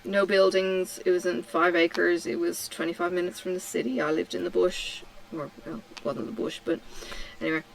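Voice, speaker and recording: A middle-aged woman from Tasmania chatting casually while she crafts, answering questions slowly with many ums and pauses. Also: lowish voice, flat delivery, gravelly, no echo, low-quality recording. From Australia (Tasmania). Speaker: craft vlogger. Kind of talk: relaxed chat while crafting.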